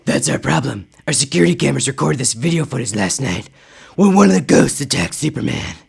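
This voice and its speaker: A man voicing a calm adult male superhero who leads the team, in a lowered, grave voice. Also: fairly quick, creaky, breathy edge, heavily acted.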